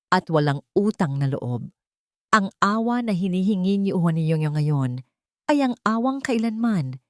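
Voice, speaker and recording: A woman's voice: on the low side, no echo, studio quality. A woman voicing a long-suffering wronged wife in an audio story, restrained and bitter.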